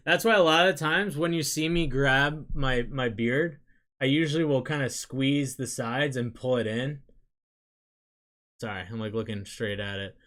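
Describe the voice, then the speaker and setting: Rambling young man, casual chat. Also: mid-range voice. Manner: loose, chatty, trailing off into quiet asides. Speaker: streamer chatting with viewers.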